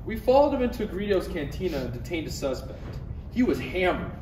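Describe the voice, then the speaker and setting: A man's voice: high voice, sing-song, a little fast, darkish tone. A man voicing a fussy, admonishing Jedi master with dry exasperation in a comedy table read. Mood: flustered, reporting. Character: fussy, scolding male Jedi master, parody mentor. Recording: distant, echoey, noisy room recording.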